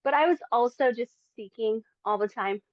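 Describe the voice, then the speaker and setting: A woman's voice: fairly high pitch, moderate pace, no room sound, highs cut off. A woman in her forties from the Philippines, sharing her faith testimony as a podcast guest in earnest, chatty talk. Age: 40s. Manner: earnest, chatty, many fillers. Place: home in Las Vegas.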